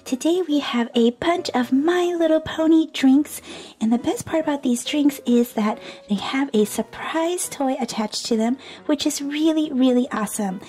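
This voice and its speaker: A woman's voice: high voice, normal speed, dark tone. A woman hosting a toy unboxing video, chatting cheerfully and gushing over each toy. She is under the weather and losing her voice, and she giggles often. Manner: bubbly and gushing, repeats "super awesome", giggles often. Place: indoors at a tabletop toy display.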